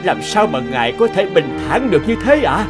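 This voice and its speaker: A man's voice: sits high, very animated, normal speed, over music. A man voicing a humble, apologetic old family patriarch in a cartoon folk tale. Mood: earnest wonder.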